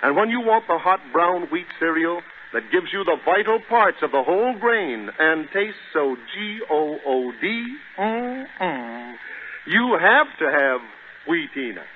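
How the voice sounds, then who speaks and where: Old timey radio announcer guy selling stuff, slow and punchy, very tinny sound. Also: fairly high pitch, very animated. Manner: emphatic, spells out words. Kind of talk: 1930s radio advertising pitch. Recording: tinny, hissy 1930s radio recording.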